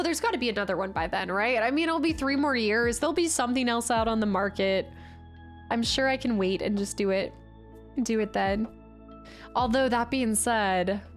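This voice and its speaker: A woman hosting a casual live stream, chatting to herself and viewers as she works, sounding slightly congested. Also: a little high. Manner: chatty, murmuring to self and replying to viewers. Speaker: livestream host. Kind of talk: casual live stream chat while building. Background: music.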